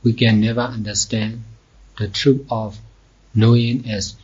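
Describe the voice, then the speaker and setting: A man teaching guided meditation slowly, in measured short phrases with long pauses. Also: lowish voice, flat delivery, a little mellow, slightly rough. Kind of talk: slow guided meditation instruction. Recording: dull, muffled lo-fi recording.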